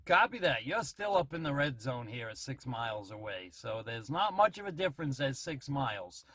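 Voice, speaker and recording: A man's voice: mid-range voice, sing-song, moderate pace, pushed and bright, faint music behind. A man giving a casual radio-testing demonstration as a hobbyist, talking in check-ins. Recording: noisy CB radio transmission at times.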